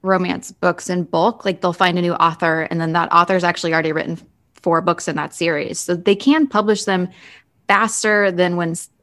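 A woman with a slightly low voice co-hosts a podcast. She offers her take casually, explaining it in plain conversational language. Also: steady tone, normal speed, fairly bright tone. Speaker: podcast co-host. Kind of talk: casual opinionated discussion.

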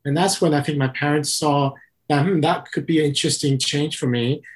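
A man from Taiwan, an interview guest and company founder, answering in a calm, methodical way. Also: on the high side, brisk.

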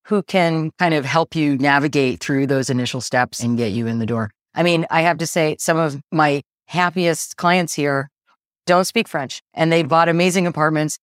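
A woman's voice: sits low, moderate pace, dry recording, studio quality. A woman in her forties, a real estate agent, explaining the buying process in a casual interview and laughing easily.

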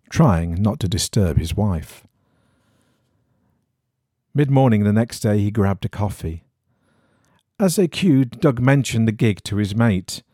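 A man reading an eerie horror story in a measured, suspenseful storytelling manner. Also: lowish voice, warm tone, no echo, studio quality. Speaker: story reader.

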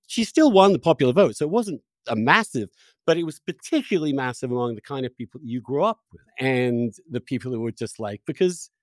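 A man's voice: on the high side, a little mellow, no room sound. An American man hosting a casual political interview, talking in a discursive, opinionated, searching way. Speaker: interviewer, host.

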